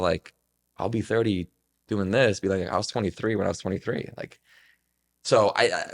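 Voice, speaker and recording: Deep, gravelly young man talking reflectively. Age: 20s. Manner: rambling, filler-heavy, earnest. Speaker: podcast guest. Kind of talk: casual reflective talk.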